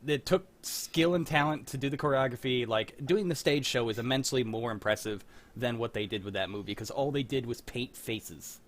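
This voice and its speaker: A man's voice: mid-range voice, a little fast. A man hosting a live stream of old radio script readings, chatting casually and joking, swearing now and then. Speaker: host of an old-time radio table-read stream. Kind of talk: casual chat.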